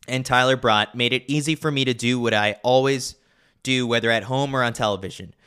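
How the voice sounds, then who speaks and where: A man's voice: mid-range voice, pushed and bright, clear, resonant tone. A man narrating a scripted sports newsletter in a wry, opinionated way. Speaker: newsletter reader and host.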